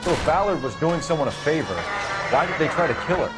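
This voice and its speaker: Casting a man for noir game: analytical male cop, brisk talker, lighter pitch, probing manner. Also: lively intonation, close to an everyday voice. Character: questioning, analytical 1940s police detective. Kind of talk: video game cutscene acting, crime noir. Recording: loud music and sirens behind.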